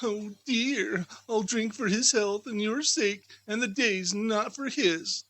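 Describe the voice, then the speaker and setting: A man voicing a fussy, sharp-tongued mother in a theatrical storybook reading, exclaiming and scolding. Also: sits high, slow pace, pitched above the performer's own voice, exaggerated voice. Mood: grudging.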